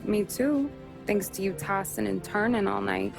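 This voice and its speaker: A woman's voice: medium pitch, moderate pace, vocal fry, over music. An actress playing a wry, teasing working-class wife who ribs her husband in a game cutscene. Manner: sarcastic, teasing.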